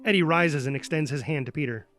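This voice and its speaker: A man's voice: fairly high pitch, fast talker, fairly bright tone. A man narrating a script's stage directions in a dramatic style. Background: prominent music.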